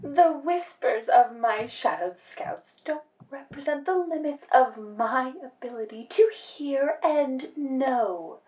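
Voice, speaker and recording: A woman's voice: high-pitched, slow, few pauses, darkish tone. A woman playing a sly, secretive young protege who talks in an arch, playful, theatrical way. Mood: teasing. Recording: roomy, from a distance, music behind.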